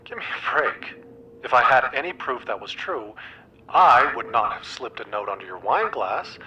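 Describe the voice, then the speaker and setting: An actor playing a dramatic, needy, exasperated geeky best friend in a game, with a theatrical, emphatic, rambling delivery. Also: male voice, medium pitch, very animated, moderate pace, bright, forceful tone, muffled recording, a little hiss or hum. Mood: exasperated, defensive.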